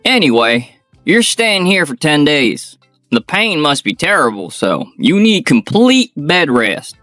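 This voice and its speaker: A man voicing a young surgeon in a manga dub, talking in a firm, scolding but concerned way, in a higher voice than his own. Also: very animated, a little slow, bright, forceful tone, no echo, faint music behind, heavily acted. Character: firm, caring young male surgeon friend.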